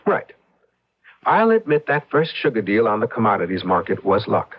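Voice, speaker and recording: A man's voice: on the low side, very animated, normal speed. An actor in an old radio drama playing an ambitious, impatient, slick husband turned rich businessman. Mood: eager, cocky. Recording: dull lo-fi radio drama recording.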